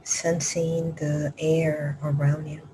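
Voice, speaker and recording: A woman meditation teacher leading a live guided meditation, speaking slow, soft instructions that often drop to a whisper. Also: sits low, flat delivery, noisy background. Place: live group session.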